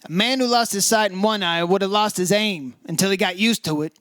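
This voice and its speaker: Radio detective, male actor: high-pitched, hardboiled and sardonic, firing off clipped wisecracks. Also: fairly quick, fairly bright tone, clear, resonant tone, dry recording. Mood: accusing. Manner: sardonic, taunting, clipped phrases. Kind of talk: old-time radio detective drama acting and narration.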